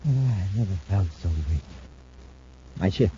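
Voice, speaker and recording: An actor playing a sly space castaway feigning weakness, speaking haltingly in an old-time radio serial. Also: male voice, sits low, animated, mellow. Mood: weak, groggy. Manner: halting, feeble, hesitant. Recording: muffled old radio recording, faint hiss.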